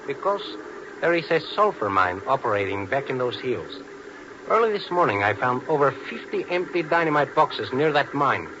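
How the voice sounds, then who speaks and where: An actor playing a hot-headed Latin American engineer, emphatic and formal, in old radio drama. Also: male voice, medium pitch, big pitch swings, normal speed, close to an everyday voice. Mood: earnest, defensive. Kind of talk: 1950s radio drama acting. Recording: muffled, noisy 1950s radio recording.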